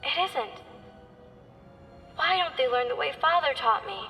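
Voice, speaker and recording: A woman's voice: high-pitched, lively intonation, a little slow, pushed and bright, recorded from a distance, faint music behind, heavily acted. An actress voicing a frightened, defiant young schoolgirl who protests plaintively in a radio drama. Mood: puzzled, plaintive. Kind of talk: vintage-style dramatic radio acting.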